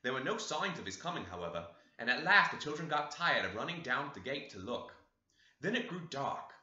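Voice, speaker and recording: A man narrates an old novel in a very bright, forceful voice. His delivery is expressive and moves around in pitch. The sound is roomy and echoey. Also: fairly quick, dull audio. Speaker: audiobook reader. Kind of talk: scripted narration of a classic novel.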